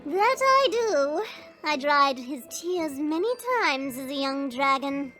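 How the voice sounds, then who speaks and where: A sly little goblin witch in a fantasy audio drama. The voice sits very high and swoops around with theatrical coyness, taking its time over words. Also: expressive, bright, forceful tone, soft background music, full character voice. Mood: coy, intrigued. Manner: theatrical, drawn-out, coy delivery. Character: sly, theatrical female goblin witch, weepy when slighted. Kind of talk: fantasy audio roleplay acting.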